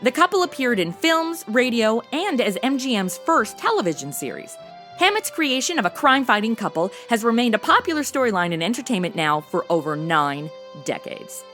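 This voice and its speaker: A woman narrates a scripted informational segment. Her voice is slightly high and varied in pitch, with some music in the recording. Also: very animated, moderate pace, fairly bright tone.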